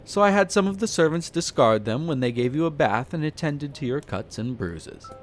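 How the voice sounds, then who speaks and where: A man's voice: fairly high pitch, expressive, normal speed, faint music behind, close to an everyday voice. A man playing a suave, flirtatious aristocratic vampire who talks to the listener with amused, haughty condescension in a roleplay audio drama. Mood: flirtatious, reassuring. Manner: theatrical, leisurely storytelling with teasing, haughty, formal wording.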